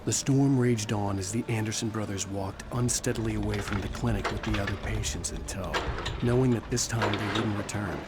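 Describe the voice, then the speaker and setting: An actor playing an intense, driven writer hero in a horror game, speaking in an earnest, grave and deliberate way. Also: male voice, fairly low pitch, lightly acted. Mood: brooding, grave. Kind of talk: brooding scripted game narration and dramatic dialogue. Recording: loud music under the voice.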